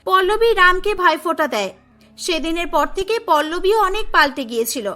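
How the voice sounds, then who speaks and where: Lady narrating a cartoon tale, really high bright voice, music under it. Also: expressive, a little fast. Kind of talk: scripted cartoon story narration. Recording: music behind the voice.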